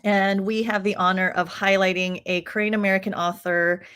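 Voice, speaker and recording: A woman hosting a live online book talk, welcoming viewers and introducing the guest in a clear, organized way. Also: mid-range voice, flat delivery, moderate pace, a bit bright. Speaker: host and co-director.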